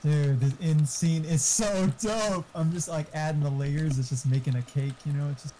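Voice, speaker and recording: A man's voice: a little high, moderate pace, dark tone, slight background noise. A man chatting casually in an enthusiastic, slangy way during a game. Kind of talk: casual chat during gameplay.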